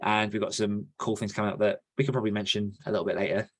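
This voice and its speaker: Man, low voice, podcast co-host, chatty, rambling, self-deprecating, casual. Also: steady tone, very fast.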